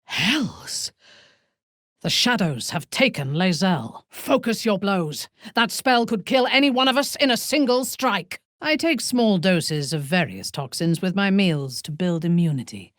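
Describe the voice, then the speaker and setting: A woman's voice: fairly low pitch, big pitch swings, no echo, studio quality. An actress playing a proud, ruthless exiled warrior woman in a video game, speaking formally with sardonic, imperious deliberation. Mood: fierce, commanding, then cool, imperious. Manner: haughty, formal, deliberate phrasing. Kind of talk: video game character voice lines.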